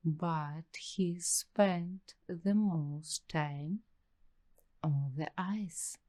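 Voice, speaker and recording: An uneasy young waitress, acted by a woman in a slow ASMR roleplay. Her voice is low and mellow, and she talks extremely slowly, in hushed fragments with long pauses, as if confiding her suspicions to the listener. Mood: intrigued. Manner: hushed, halting delivery in short broken phrases with long pauses.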